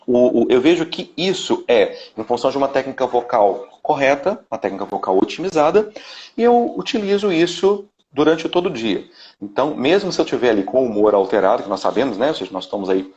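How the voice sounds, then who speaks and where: Brazilian man in his 30s, vocal coach guest, mid-pitched, chatty and rambling, dull lo-fi call audio. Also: animated, fairly quick, a little mellow. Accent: Brazilian Portuguese. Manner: rambling, frequent "né?" tags and restarts. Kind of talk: casual live-stream interview. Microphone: video call. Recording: dull lo-fi live-stream audio.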